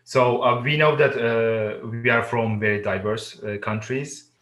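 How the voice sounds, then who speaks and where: Guy moderating a video call chat, slow easygoing, kinda echoey room. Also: mid-range voice, fairly even intonation, fairly bright tone. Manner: unhurried, friendly, hesitant fillers. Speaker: discussion moderator. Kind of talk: casual group video call.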